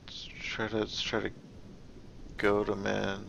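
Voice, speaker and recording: A man giving casual gameplay commentary, thinking aloud in a halting, musing way and often trailing off mid-thought. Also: fairly low pitch, completely flat delivery, gravelly, fairly bright tone, highs cut off, slight background noise. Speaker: gaming video host.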